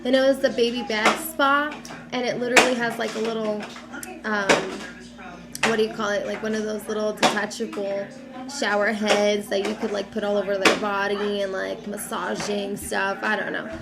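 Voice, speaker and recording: A young woman vlogging casually about baby gear, a little raspy from a cold, talking in a loose, chatty way with lots of fillers. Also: medium pitch, flat delivery, normal speed, heavy background noise. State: has a cold, slightly raspy. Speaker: mom vlogger. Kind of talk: casual chat to camera.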